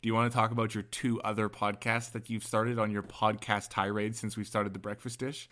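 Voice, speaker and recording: A young man co-hosting a podcast, chatting and interviewing in a casual, bantering, somewhat halting way. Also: fairly low pitch, level tone, fairly bright tone. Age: young adult. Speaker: podcast co-host.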